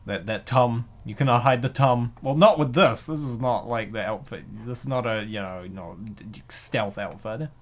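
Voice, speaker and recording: A man's voice: medium pitch, moderate pace, few pauses. A man narrating a comic dub with playful, slangy joking asides.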